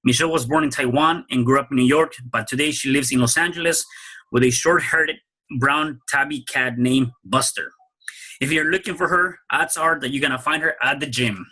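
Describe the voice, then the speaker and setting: A man's voice: medium pitch, normal speed, sharp tone, husky, poor audio. A man hosting an interview podcast, introducing his guest and asking questions with many fillers and restarts. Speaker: podcast host.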